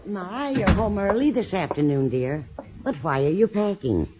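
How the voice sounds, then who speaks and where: A woman's voice: medium pitch, lively intonation, moderate pace, background noise, lightly acted. An actress playing a kindly, fussing, motherly older landlady in a 1950s radio sitcom. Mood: surprised, fond. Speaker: supporting actress. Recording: dull lo-fi 1950s radio recording.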